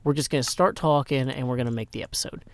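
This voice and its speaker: A man's voice: mid-range voice, fast, no echo. A middle-aged man from Nashville, chatting playfully on a live stream and sounding a little tired. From Nashville, Tennessee. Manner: playful, rhyming asides and long lists of greetings. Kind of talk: casual live-stream chat. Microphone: microphone on a stand.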